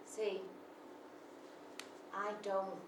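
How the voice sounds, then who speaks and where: A woman's voice: medium pitch, little pitch movement, very slow, far from the mic, noisy background. A woman playing a raw, volatile mother. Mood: despairing. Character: British mother with bipolar disorder, raw and volatile. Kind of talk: theatrical monologue. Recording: echoey stage recorded from afar.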